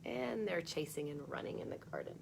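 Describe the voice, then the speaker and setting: A woman reading a picture book aloud for children, expressive, with chatty asides spoken straight to young listeners. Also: sits low, a little fast, creaky, slight background noise, low-quality recording.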